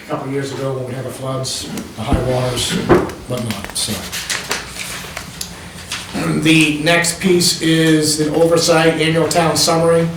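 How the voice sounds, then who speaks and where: Brief: male presenter at town meeting, slightly high voice, distant mic in a very echoey room with background noise. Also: flat delivery, a little slow. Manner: explanatory, walks listeners through pages, frequent ums. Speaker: planning board member presenting.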